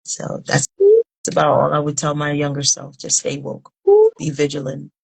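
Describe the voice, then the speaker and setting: A woman in her fifties from Liberia giving earnest, deliberate interview answers, pausing between phrases. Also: low voice, monotone, vocal fry, husky, rough recording. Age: 50s. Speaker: interview guest, humanitarian founder.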